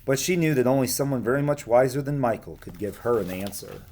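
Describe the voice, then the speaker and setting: Male teacher, medium pitch, picture-book storytelling and relaxed class talk. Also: fairly quick, slight background noise. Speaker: schoolteacher reading aloud to students.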